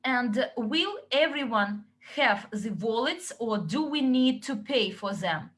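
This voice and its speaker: A woman interpreting a company update into English, relaying questions and answers in short, halting chunks. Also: a little high, expressive, a bit bright.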